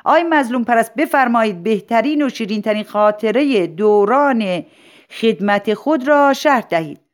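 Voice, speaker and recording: A woman's voice: medium pitch, expressive, voice pushed up, close to an everyday voice. An officious male school principal as a woman storyteller plays him, presiding over a ceremony. He speaks in a formal, declamatory style, full of self-important courtesy, lifted above plain talk. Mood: insistent, loud.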